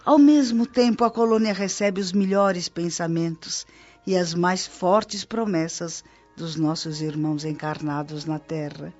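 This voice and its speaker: A devoted woman guide from a spirit colony in a Brazilian radio drama. Her voice sits in the middle-to-low range, with reverent, articulate explanations in a Brazilian accent. The sound is slightly dull and lo-fi, with music playing behind her. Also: fairly even intonation, a little slow, darkish tone. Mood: admiring, devout.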